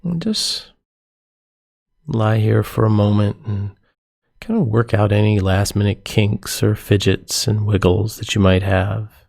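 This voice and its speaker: A man leading a guided meditation as a yoga nidra teacher, giving gentle, soothing, unhurried instructions with pauses between phrases. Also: lowish voice, vocal fry.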